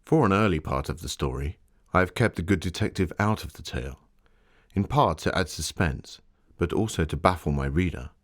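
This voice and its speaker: A very low-voiced gentleman author, acted by a man, introduces his own tale for an exhibit. He is genteel and measured, a storyteller setting each scene with care. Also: expressive. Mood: wryly amused. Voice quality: deep. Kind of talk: scripted museum exhibit narration, in character.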